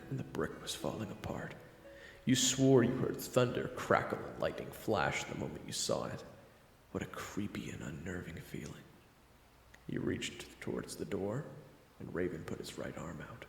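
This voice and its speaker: A man's voice: low-pitched, creaky, reverberant, big-room sound. A man reading scripted second-person narration for an audio roleplay story.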